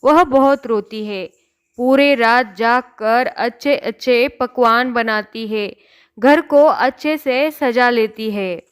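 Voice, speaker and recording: A woman's voice: on the high side, clear, resonant tone. A woman narrating a scripted children's story.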